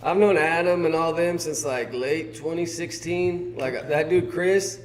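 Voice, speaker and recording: A man with a Californian accent, a guest in a casual conversation, telling stories in a relaxed, rambling way. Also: a little high, unhurried, background noise.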